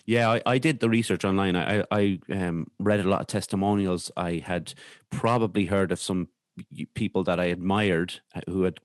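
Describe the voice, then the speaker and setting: An Irish man speaking candidly as a podcast guest, in a hesitant, halting way with repeated words. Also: deep voice, fairly even intonation, no room sound, clean studio sound. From Ireland. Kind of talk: candid conversational interview.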